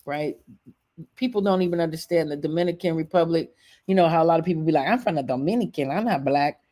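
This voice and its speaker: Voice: mid-range voice, a little mellow. An American live stream host giving a casual, opinionated talk on history, speaking emphatically and conversationally with blunt swearing. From United States.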